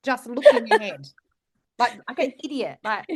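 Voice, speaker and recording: Lively, high-voiced Australian woman. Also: moderate pace, slightly rough. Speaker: podcast co-host, voice actor. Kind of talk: casual chat.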